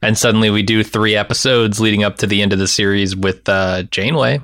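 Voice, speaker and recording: A man's voice: fairly low pitch, fairly even intonation, a little fast, fairly bright tone, clean tone, no room sound, clean studio sound. A man, co-hosting a podcast and giving his opinions in casual chat. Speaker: podcast co-host.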